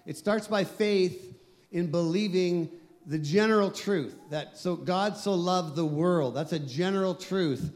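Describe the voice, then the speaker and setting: A man pastor preaching a sermon, emphatic and slowing down on key phrases. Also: high voice, a little mellow.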